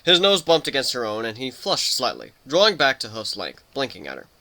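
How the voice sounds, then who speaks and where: A man's voice: medium pitch, huge pitch swings, a little fast, sharp tone. A man narrating a story as a scripted dramatic reading.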